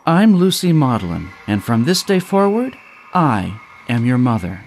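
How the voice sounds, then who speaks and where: A man's voice: medium pitch, big pitch swings, measured pace, darkish tone, a little hiss or hum. A man voicing a regretful woman in her fifties who longs to be a mother, in an audio story. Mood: regretful, earnest. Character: fifty-something woman, regretful, sad, wanting to mother.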